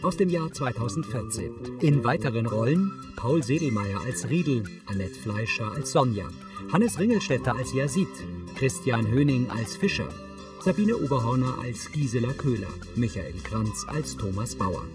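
A man narrates scripted scenes in a measured, matter-of-fact way. His pitch is medium, and music plays clearly underneath. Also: big pitch swings. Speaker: audio description narrator.

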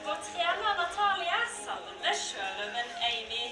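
A woman's voice: very high voice, big pitch swings, moderate pace. A woman with a Norwegian accent presenting a live sea lion show to an audience, upbeat and dropping frequent "eh" fillers between phrases. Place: outdoor sea lion pool at an aquarium. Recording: distant and echoey, crowd and loud music.

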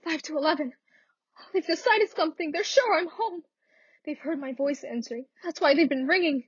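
A woman's voice: sits high, moderate pace, dull audio, natural voice. A woman playing a frantic, demanding bedridden wife in a radio thriller, with an indignant, melodramatic manner. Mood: frantic, frightened. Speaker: actress in a student radio play. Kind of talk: theatrical radio thriller acting.

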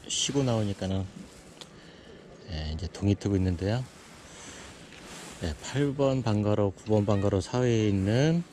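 A man's voice: on the low side, mellow, a little hiss or hum. A middle-aged man narrating his own fishing trip outdoors in a casual, chatty way, often dropping in "yes" as filler. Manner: chatty, filler-heavy, frequent "예". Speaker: fishing vlogger. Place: outdoors at a seaside fishing pond.

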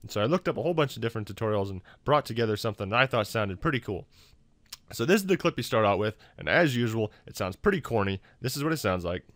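Low-voiced man, casual tutorial host. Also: expressive, quick pace, fairly bright tone, no echo. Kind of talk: casual software tutorial narration.

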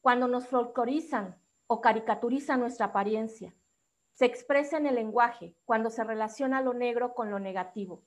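Woman, Mexican accent, fairly high voice, activist panelist, formal prepared speech, measured and articulate. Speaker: activist speaker on a discussion panel. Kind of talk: prepared public talk, formal.

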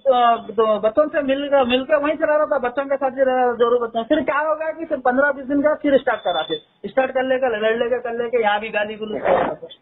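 Male caller with a Hyderabadi accent, extremely high pitch, reasoning informally over a narrow phone line. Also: fast talker, hardly any pauses. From Hyderabad, India. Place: telephone call, played in a news upload. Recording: tinny phone call recording.

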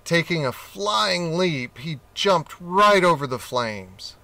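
A man reading a children's picture book aloud, slowly and expressively. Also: a little high, vocal fry, dry recording. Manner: slow, expressive reading with character voices. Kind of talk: storybook read-aloud.

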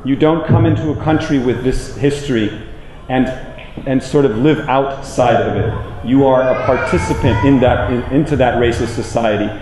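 An American man delivers a public lecture with emphatic, deliberate phrasing, repeating himself for weight. His voice is medium-pitched and carries through a roomy, echoing space with some background noise. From United States. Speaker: public speaker. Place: indoor room with plain walls, speaking to an audience. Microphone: handheld microphone, later a small clip-on mic.

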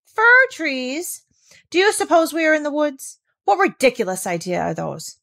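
A woman's voice: high-pitched, big pitch swings, moderate pace, gravelly, pushed and bright, pitched above the performer's own voice, exaggerated voice. A woman reading a storybook as a stern, indignant housekeeper who scolds in formal, severe phrases. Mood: indignant scolding.

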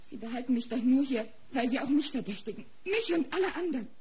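A woman's voice: high voice, animated, fast, darkish tone, husky, heavily acted. A woman playing a frightened young murder suspect in a radio crime play, agitated and pleading. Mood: desperate, indignant. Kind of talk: German radio crime drama acting.

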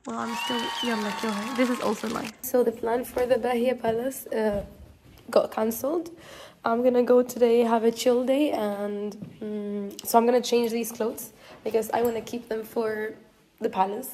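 A woman's voice: fairly high pitch, steady tone, moderate pace. Chatty young woman from northern Morocco. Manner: chatty, upbeat, talks straight to camera. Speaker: travel vlogger. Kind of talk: casual travel vlog. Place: busy outdoor markets and streets of an old city. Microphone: phone held at arm's length. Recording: loud street crowd and traffic noise. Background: loud crowd and traffic.

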